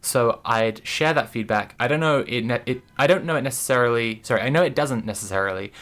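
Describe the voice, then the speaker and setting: A woman's voice: extremely low pitch, brisk. A woman streaming, talking casually and thoughtfully to her chat, with many restarts and fillers.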